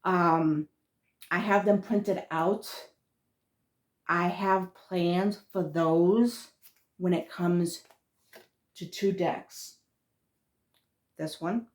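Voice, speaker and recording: A woman's voice: lowish voice, very slow, pauses often, a little mellow. A woman with a thick Massachusetts accent, chatting casually in a rambling vlog and often trailing off and restarting her words. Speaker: spiritual vlogger.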